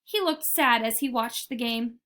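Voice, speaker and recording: A woman narrating a children's picture book in an expressive, deliberate storytelling manner. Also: fairly high pitch. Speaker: read-aloud storyteller.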